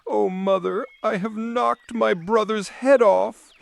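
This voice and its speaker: A man's voice: high-pitched, steady tone, slow, gravelly, voice pushed up, heavily acted. A man voicing an innocent, easily frightened little girl in a fairy tale, with a plaintive childlike manner. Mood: frightened, distressed.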